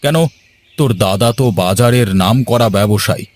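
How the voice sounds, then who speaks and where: A man's voice: medium pitch, a little fast, close to an everyday voice. A man doing a chatty nosy neighbour.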